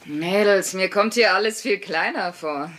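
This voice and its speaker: A woman's voice: medium pitch, a little slow, fairly bright tone, close to an everyday voice. An actress in a German sitcom dub playing a brash, sardonic working-class mother, blunt and full of wisecracks. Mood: wry.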